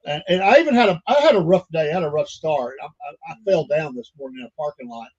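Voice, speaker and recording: An older man hosts a casual podcast chat, rambling along with plenty of fillers and folksy asides. His voice sits a little high for a man, and the recording sounds dull and lo-fi. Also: animated, fast, husky. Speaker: podcast host.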